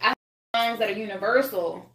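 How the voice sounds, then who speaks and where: A woman's voice: mid-range voice, fairly quick, background noise. A woman singer talking casually as a podcast guest, rambling and swearing, with many restarts. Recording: echoey room.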